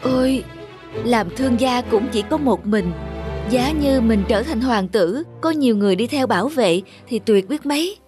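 A woman's voice: on the high side, lively intonation, moderate pace, lightly acted. A woman voicing a discontented male stonecutter in a cartoon fairy tale, exclamatory and plaintive. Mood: wishful, delighted. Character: weary, discontented male stonecutter granted wishes, easily delighted and dismayed. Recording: music behind the voice.

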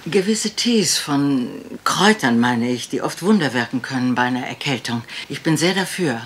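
Frail genteel older lady, very low voice, hesitant, wistful, radio mystery, faint rumble. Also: expressive, moderate pace. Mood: gentle, rambling. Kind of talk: German radio crime drama acting.

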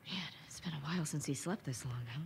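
A woman with an American accent playing a hurt, pleading young partner and mother in a video game drama, emotional and sometimes hushed. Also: low-pitched, fast talker, gravelly, a little hiss or hum. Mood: hushed, weary. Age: young adult.

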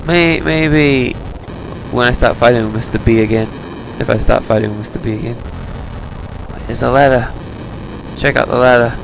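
A man's voice: medium pitch, fairly even intonation, moderate pace, creaky, noisy background. A man giving casual, sarcastic commentary while playing a video game, muttering to himself. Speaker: let's player. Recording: game music underneath. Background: game music.

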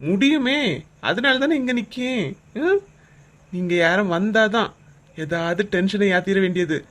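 A woman voicing a teasing, gruff middle-aged husband in a cartoon comedy, speaking with a Kanyakumari Tamil accent. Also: mid-range voice, animated, measured pace, natural voice. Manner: brusque, scolding, teasing banter.

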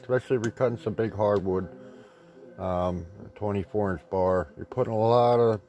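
A man's voice: lowish voice, moderate pace, mellow. A man giving a casual hands-on repair tip in a rambling, plain-spoken, mildly profane way. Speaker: chainsaw repair tip presenter.

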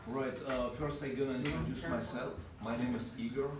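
A man with a Russian accent leads an informal programming class and keeps asking his students questions. His medium-pitched voice comes from a distance, with lots of room echo and steady background noise. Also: moderate pace. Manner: relaxed, asks the class questions. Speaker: programming teacher. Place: small meeting room with a whiteboard. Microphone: far camera. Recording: roomy, distant, noisy room sound.

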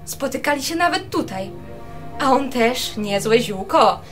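A woman's voice: on the high side, expressive, unhurried, soft background music. A woman playing a vain, gossipy, spiteful young violinist in a radio drama, with a theatrical, haughty manner.

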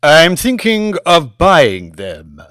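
A man voicing an imperious, excitable, extremely old English lord in an audiobook, with theatrical, drawn-out, exclamatory delivery. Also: fairly high pitch, clean tone, dry recording, clean studio sound, voice pushed up, exaggerated voice. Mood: probing. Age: 169 years old. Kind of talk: audiobook character acting.

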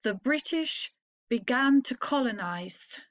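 Norwegian teacher reading slowly, higher voice. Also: female voice, lively intonation, fairly bright tone. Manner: slow, clearly phrased read-aloud with pauses. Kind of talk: slow read-aloud for learners to repeat.

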